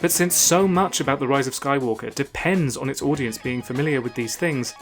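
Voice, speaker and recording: A man hosting a scripted video essay, narrating in a measured, analytical and argumentative way. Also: mid-range voice, soft background music.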